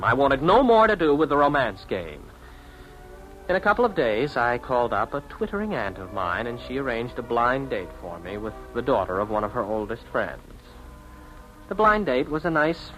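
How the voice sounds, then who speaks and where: A man's voice: medium pitch, bright, forceful tone. An actor playing an awkward, bashful young bachelor in an old-time radio drama, hesitant and wry as he tells his own story. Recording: noisy vintage radio recording.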